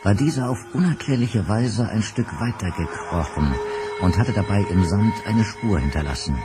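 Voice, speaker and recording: A man narrating a spooky radio play in a measured, ominous way. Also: fairly low pitch, expressive. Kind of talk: scripted narration. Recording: music under the narration.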